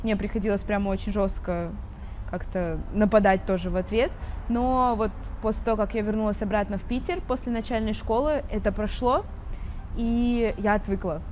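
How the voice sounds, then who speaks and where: A woman's voice: medium pitch, fairly even intonation, moderate pace, a little mellow, slight background noise, low-quality recording. A young woman in her twenties, born in Saint Petersburg, tells her life story openly in a documentary interview, with frequent fillers and light laughs. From Saint Petersburg, Russia. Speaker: interviewee, architecture student and drawing teacher.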